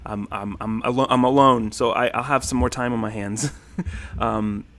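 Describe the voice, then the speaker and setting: A man's voice: medium pitch, fairly even intonation, moderate pace, a bit bright. Male, thirties, easygoing stream host, rambling chat, mid-range voice. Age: 30s. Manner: rambling, friendly, many ums and thank-yous. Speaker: livestream host. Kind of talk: casual livestream chat reading comments.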